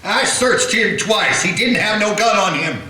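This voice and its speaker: A man's voice: sits high, animated, a little slow, bright, forceful tone, heavily acted. A man playing a plain-talking small-town deputy with folksy grammar. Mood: wary. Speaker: deputy Hilly. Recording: roomy echo, distant.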